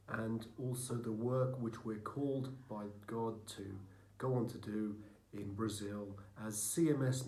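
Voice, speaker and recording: A middle-aged British man giving an earnest, measured church presentation about his mission work, pausing often with ums and uhs. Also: lowish voice, dark tone, rough recording. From United Kingdom. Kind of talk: recorded slide presentation.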